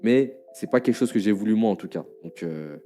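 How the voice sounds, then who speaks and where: A tired man explaining himself hesitantly, with many pauses and restarts. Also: lowish voice, animated, mellow, soft background music. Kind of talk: casual explanation.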